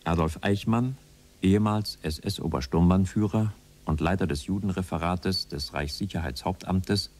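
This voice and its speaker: A man's voice: deep voice, moderate pace, darkish tone. A man reading scripted narration as the announcer of a documentary radio drama.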